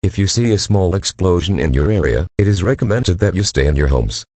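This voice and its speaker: Deep husky guy doing a formal emergency alert, kinda mechanical, dry sound. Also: lively intonation, brisk, darkish tone, no echo. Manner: formal, impersonal, mechanical delivery. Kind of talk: scripted emergency alert announcement.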